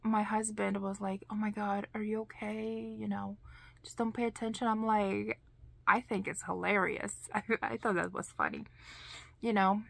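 A woman in her thirties with a Lithuanian accent, chatting to camera in a slow, deliberate storytelling way, full of "you know" and "like.". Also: mid-range voice, level tone, gravelly, a bit bright. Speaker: vlogger. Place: small indoor craft room.

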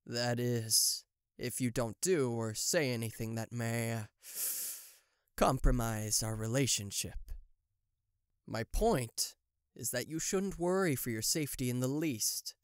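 A man's voice: medium pitch, a little slow, clean tone, no echo, studio quality, lightly acted. A man voicing a possessive, smug TV-headed demon CEO in a theatrical, condescending yandere roleplay spoken to the listener. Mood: smug, menacing. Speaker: voice actor.

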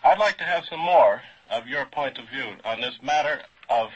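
A man's voice: medium pitch, expressive, normal speed, slightly rough. A man moderating a radio panel, putting questions to his guests in a formal, courteous way. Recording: dull, lo-fi old radio recording.

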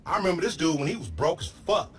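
A man's voice: fairly high pitch, very animated, fast, a little hiss or hum. A man playing a brash, aggrieved hustler buddy in a video game, theatrical and boastful, with slangy trash talk. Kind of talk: video game comedy acting.

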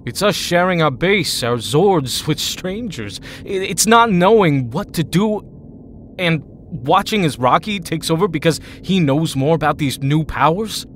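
A man's voice: fairly high pitch, expressive, a little slow, slight background noise. Brooding young male hero voiced by a man. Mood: troubled, weary. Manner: moody, hesitant, curt. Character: brooding, wounded teenage male team leader. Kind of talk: audio drama acting.

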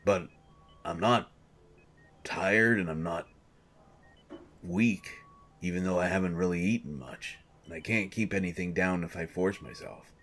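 A man playing a gruff, husky grumpy coach. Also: deep voice, a little slow, pauses often, fairly bright tone, heavily acted. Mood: uneasy. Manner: grumbling, exasperated complaints. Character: grumpy male coach, irritable and defensive. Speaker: voice actor. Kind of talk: audio drama monologue.

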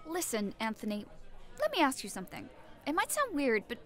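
An earnest young woman on an awkward date in an audio drama. Her voice is distinctly high and conversational. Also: wildly swooping pitch, brisk. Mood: earnest, tentative. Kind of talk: audio play acting.

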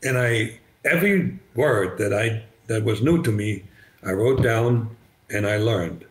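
An older man with a slight German accent, German native, telling his story as a podcast guest over a video call, halting with many restarts. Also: lowish voice. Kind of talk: conversational storytelling. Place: bright living room, indoors. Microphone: video call webcam.

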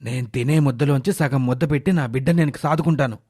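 A man's voice: mid-range voice, fast, darkish tone, slightly rough, lightly acted. A man voicing a loving, protective middle-aged father, earnest and affectionate, in a moral story. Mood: protective, reproachful.

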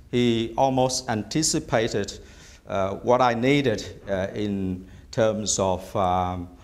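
A middle-aged man from Vietnam whose first language is Vietnamese, a bishop-elect giving measured interview answers in a small office, hesitating often with "uh". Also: fairly low pitch. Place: small office room indoors.

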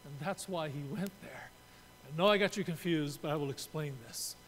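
Older canadian guy preaching, kinda high voice, echoey church, low rumble behind. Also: normal speed, fairly bright tone. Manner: explanatory, gesturing at a pulpit. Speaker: pastor. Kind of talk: sermon. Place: church sanctuary. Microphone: pulpit mic. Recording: reverberant church room, faint rumble.

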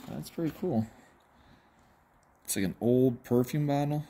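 A middle-aged man hosts a casual unboxing in a cluttered workshop, thinking aloud as he goes. His voice sits at an average pitch and he is playful, readily exclaiming. The handheld phone recording is noisy, with clicks from handling. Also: unhurried, mellow. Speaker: auctioneer and host. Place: large cluttered indoor workshop or warehouse. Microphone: handheld phone camera a step or two away.

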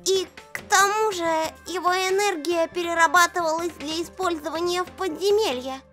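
A woman's voice: very high voice, normal speed, fairly bright tone, soft background music. A woman voicing an anxious, stammering female lizard scientist in a cartoon comic dub. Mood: nervous. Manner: nervous, stammering. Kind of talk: fan comic dub acting.

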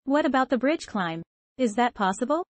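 Woman reading lesson dialogue questions, kinda high voice, dry close mic. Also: animated, normal speed, no room sound. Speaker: dialogue partner in a language lesson.

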